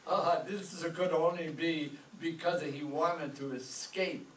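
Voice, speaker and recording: A man's voice: on the high side, steady tone, slow pace. A man playing a melodramatic, put-upon old maestro who wisecracks sardonically in an old-time radio comedy. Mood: wry. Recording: echoey room, distant mic, faint noise.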